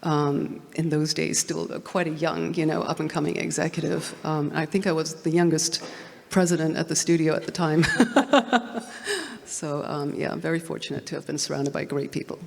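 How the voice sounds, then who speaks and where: A woman from Hong Kong speaking on a panel, halting with many fillers and restarts. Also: low voice, fairly even intonation, vocal fry, slight background noise. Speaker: panelist, studio distribution president. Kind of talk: panel discussion. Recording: long hall reverb.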